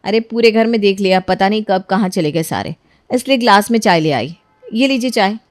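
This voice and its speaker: A woman's voice: medium pitch, animated, brisk, no room sound, clean studio sound, close to an everyday voice. A woman playing a careless young housewife in a family story who justifies herself and argues. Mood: offhand, explaining. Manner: self-justifying, argumentative. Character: careless, defensive young housewife daughter-in-law.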